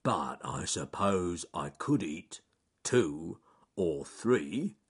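A man's voice: low-pitched, lively intonation, drawn-out words, exaggerated voice. A man voicing a large, gloomy, proud male bear in a storybook, with a growly voice and a ponderous, grumbling manner. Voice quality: growly, husky.

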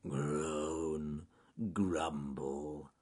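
A man's voice: fairly low pitch, very animated, very slow, fairly bright tone, exaggerated voice. A man voicing a large, gloomy, proud male bear in a storybook, with a growly voice and a ponderous, grumbling manner. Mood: croaky, grumpy. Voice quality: growly, husky.

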